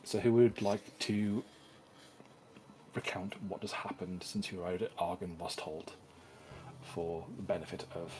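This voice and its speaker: A man acting as dungeon master, talking through game updates in a halting way with frequent fillers. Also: sits low, steady tone, a little mellow, slight background noise, poor audio. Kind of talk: casual tabletop game talk.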